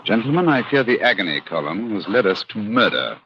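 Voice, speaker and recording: An actor playing an incisive, commanding English gentleman detective with a British accent in a 1940s radio drama, clipped and theatrical. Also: lowish voice, very animated, a little fast, a little hiss or hum. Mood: grave. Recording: dull, dry old radio recording.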